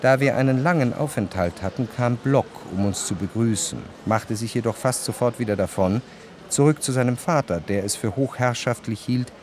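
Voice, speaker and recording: An actor as a measured, low-voiced gentleman narrator. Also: a little hiss or hum, close to an everyday voice. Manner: measured, articulate reading of long sentences. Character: reflective gentleman narrator recalling his past. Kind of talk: literary radio drama narration.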